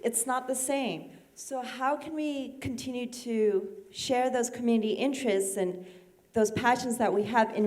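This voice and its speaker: A young woman from Iran asking a panel a question, talking quickly with frequent ums. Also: a little high, darkish tone. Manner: rapid, with frequent um fillers. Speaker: audience member asking a question. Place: auditorium.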